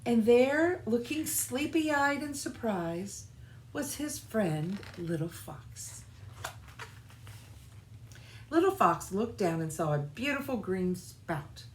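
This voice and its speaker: A woman reading a children's storybook aloud in an expressive, deliberate way, as scripted narration. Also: fairly high pitch. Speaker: children's storybook reader, church music director. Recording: roomy echo, faint background noise.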